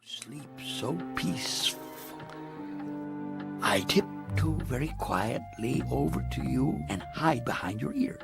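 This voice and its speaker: A man voicing a tiny whimsical elf of sleep in an animated children's film, slow and deliberate, gently mysterious. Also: mid-range voice, creaky, heavily acted. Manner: slow, soft-spoken, deliberate. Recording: film clip with music underneath.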